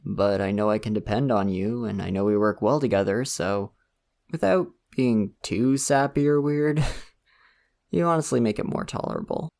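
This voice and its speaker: Guy doing a chill college crush, lowish gravelly voice, chatty and laughs a lot. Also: moderate pace. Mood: shy friendly. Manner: casual, laughs often, soft-spoken and chatty. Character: friendly young male college student, easygoing and a bit shy. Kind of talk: ASMR roleplay.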